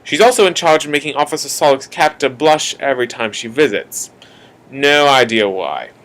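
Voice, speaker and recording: An earnest police-drama announcer, played by a man with an Australian accent. He narrates a radio play with theatrical weight, stretching key words for emphasis. His voice sits at a medium pitch. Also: expressive, moderate pace, a bit bright, lightly acted. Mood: wry, confiding. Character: earnest male announcer introducing a city police force.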